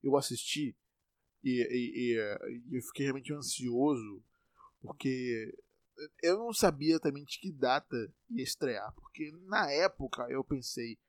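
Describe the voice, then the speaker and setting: A man's voice: medium pitch, a little slow, no echo. A young man in his twenties from Salvador, with a Bahian accent, rambling casually with lots of swearing. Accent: Bahian Brazilian Portuguese. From Salvador, Brazil. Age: 20s. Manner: rambling, profane, self-mocking. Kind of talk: casual monologue.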